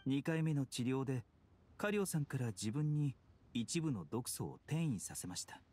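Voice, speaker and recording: A man's voice: mid-range voice, moderate pace, slight background noise, lightly acted. Man acting a composed, courteous male physician. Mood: calm, courteous. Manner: polite, calm, formal. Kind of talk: Japanese game voice acting.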